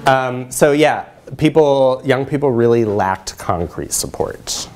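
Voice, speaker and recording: Male presenter, thirties, American accent, explanatory lecture delivery, mid-pitched voice. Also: slow, a little mellow, slight background noise. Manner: explanatory, checks in with listeners. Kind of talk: conference presentation with audience questions. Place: lecture room, indoors.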